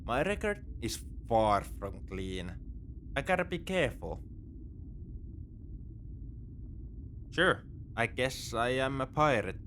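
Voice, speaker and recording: A man's voice: mid-range voice, very animated, measured pace, fairly bright tone, a little hiss or hum. A man playing a wry, morally grey space pirate in a sci-fi ASMR roleplay, with a conversational, nonchalant, dryly teasing delivery. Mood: teasing, reassuring. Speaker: audio roleplay performer.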